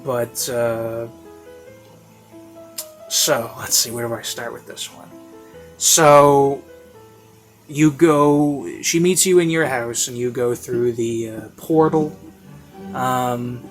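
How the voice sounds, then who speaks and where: A man's voice: mid-range voice, steady tone, slow, pauses often. A man giving a casual, unscripted review in a rambling, hesitant way, often interrupting himself. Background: classical instrumental music.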